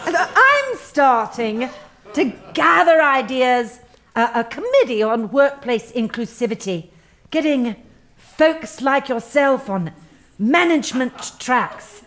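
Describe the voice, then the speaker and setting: An actress playing an earnest, self-important HR manager in a satirical radio play, over-solicitous and gravely sanctimonious. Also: female voice, sits high, big pitch swings, slow, a bit bright, exaggerated voice. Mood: solemn, earnest.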